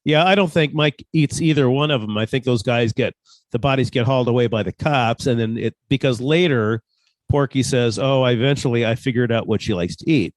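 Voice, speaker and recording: A man's voice: medium pitch, fairly quick, no echo, poor audio. Man thinking aloud in casual group chat. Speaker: cast member in a post-show discussion.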